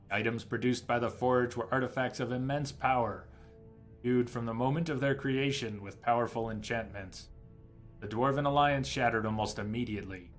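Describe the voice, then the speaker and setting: Guy streaming a game, talking to viewers, lowish-mid everyday voice, music playing behind him. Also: a bit bright. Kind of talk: live game commentary and reading in-game lore aloud.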